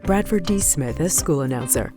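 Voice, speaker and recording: Low-key female drama narrator over music. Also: animated, normal speed. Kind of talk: scripted narration and closing credits. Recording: music behind the voice.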